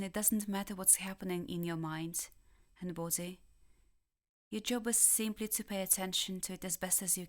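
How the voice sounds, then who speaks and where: Calm woman guiding a meditation. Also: lowish voice. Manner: calm, gentle, measured instruction with long pauses. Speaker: meditation guide, therapist. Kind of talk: guided body scan meditation.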